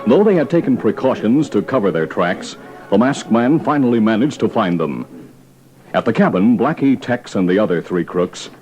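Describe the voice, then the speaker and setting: A man's voice: fairly low pitch, expressive, moderate pace, darkish tone, low-quality recording. A man giving formal, dramatic scripted narration in the style of old-time radio drama. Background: music.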